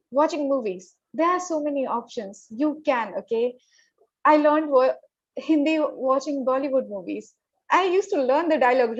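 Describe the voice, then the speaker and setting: High-voiced woman, emphatic. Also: dry recording, highs cut off. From Northeast India. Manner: emphatic, repeats words, tags "okay?". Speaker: guest, corporate professional. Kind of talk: interview answers, advice.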